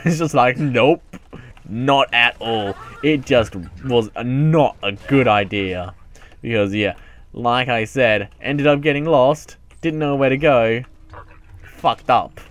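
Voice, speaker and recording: Male let's player, casual and rambling, mid-pitched, self-mocking, talks to himself while playing. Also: a bit bright. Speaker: gaming YouTuber. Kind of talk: casual let's play commentary.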